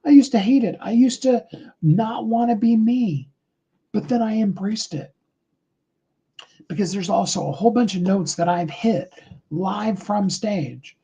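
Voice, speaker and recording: High-voiced man with a husky, muffled sound. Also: unhurried, mellow. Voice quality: high tenor voice. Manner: rambling, earnest, with many fillers. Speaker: host of a live motivational call. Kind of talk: casual motivational talk.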